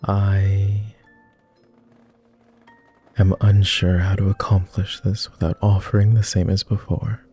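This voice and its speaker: A man playing a lonely, gentle vampire who speaks formally and haltingly in an intimate ASMR roleplay. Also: low voice, level tone, faint music behind. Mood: earnest, wistful. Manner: formal, old-fashioned wording with halting, hushed delivery. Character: clingy lonely male vampire, courtly, gentle, easily flustered.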